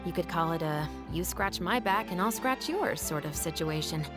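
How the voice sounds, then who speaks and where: An actress playing a dutiful, wary patrol captain in a game, speaking in a dry, measured, skeptical way. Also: female voice, fairly low pitch, lively intonation, natural voice. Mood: explaining. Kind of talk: game cutscene voice acting. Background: game music.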